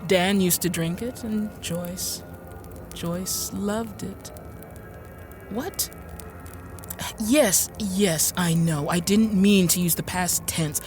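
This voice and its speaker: An actress playing a composed, sharp-tongued woman inventor in an audio drama, speaking in a measured, arch and deliberate way. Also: lowish voice, over music. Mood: coolly recounting. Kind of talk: audio drama acting and first-person narration.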